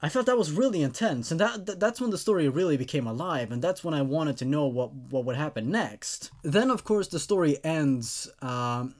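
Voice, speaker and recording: A man hosting a casual online story review, talking to viewers in a halting, stammering way with repeated words. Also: on the high side, expressive. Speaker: host of a horror story review show.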